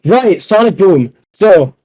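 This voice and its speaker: Man, slightly high pitch, casual fan podcast talk, enthusiastic, rambling, repetitive, sweary. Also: lively intonation, slow pace, dark tone, slightly rough, highs cut off. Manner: rambling, profane, repeats phrases. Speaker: podcast guest or panelist.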